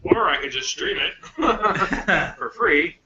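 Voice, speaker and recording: A man's voice: sits high, lively intonation, slow, lo-fi sound, noisy background. A man hosting a podcast in casual group chat, joking and laughing often. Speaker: podcast host. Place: small studio, guys around a table.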